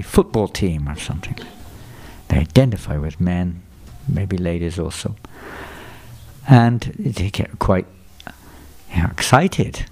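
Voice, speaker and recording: A man's voice: lowish voice, a little mellow. An older man gives a sermon lecture to a temple audience, asking people questions and repeating their answers back to them. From England. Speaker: visiting speaker at a temple. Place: temple hall before a live audience.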